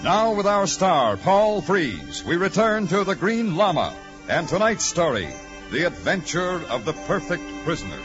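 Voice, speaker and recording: A male 1940s radio announcer with a polished sales delivery. His pitch sits a bit high and swings with animation, over faint music on a muffled broadcast recording. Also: sing-song, unhurried.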